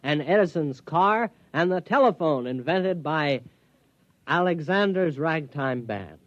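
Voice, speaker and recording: An actor playing an excitable, naive vacationing hunter with an earnest comic manner, in a dull-sounding 1950s radio comedy. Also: male voice, on the high side, measured pace, no echo. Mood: earnest, whimsical. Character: excitable, naive, whimsical man on a hunting vacation. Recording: dull, dry vintage radio recording.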